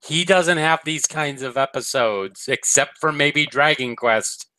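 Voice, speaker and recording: A man's voice: on the high side, a little slow, bright, forceful tone, no room sound, low-quality recording. A man co-hosting a casual review chat, wry and blunt with jokes. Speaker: co-host.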